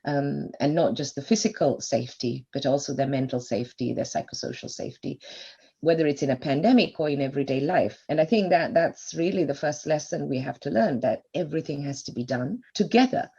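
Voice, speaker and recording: A woman's voice: sits low, a little fast, vocal fry, warm tone, slightly rough, muffled recording. A woman in her fifties from Sri Lanka, a doctor guest on a podcast, reflectively telling her life story at length. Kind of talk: podcast interview.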